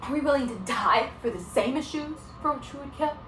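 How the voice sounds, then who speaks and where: A woman playing an earnest, exasperated female teacher in a solo dramatic performance, speaking in a measured, stern and restrained way. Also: high-pitched, a bit bright, reverberant, recorded from a distance, slight background noise, pitched above the performer's own voice. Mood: reflective.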